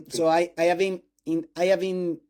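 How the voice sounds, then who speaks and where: Spanish-accented young man, higher voice. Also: little pitch movement, darkish tone. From Spain. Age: 20s. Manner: halting non-native English with restarts and throat clearing. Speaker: host interviewing a doctor. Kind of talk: casual interview talk.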